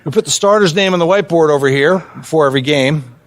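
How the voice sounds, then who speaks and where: Man playing a fast, higher-voiced basketball coach. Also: no echo, lightly acted. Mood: matter-of-fact. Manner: direct, encouraging. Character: brisk, encouraging male basketball coach. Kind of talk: conversational video game cutscene acting.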